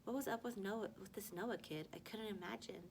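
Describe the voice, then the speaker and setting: A woman reads a story aloud in an expressive teacherly way, at a middling pitch. She stumbles on the odd word and corrects herself, and a low rumbling noise sits under the recording. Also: brisk, vocal fry. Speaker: teacher reading a book aloud to a class. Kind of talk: storybook read-aloud with acted dialogue.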